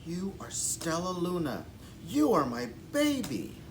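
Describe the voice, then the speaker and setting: A man's voice: fairly high pitch, wildly swooping pitch, slow pace, recorded from a distance, noisy background, pitched above the performer's own voice, full character voice. A man voicing a tender, reassuring mother bat from a children's storybook. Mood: amazed, tender.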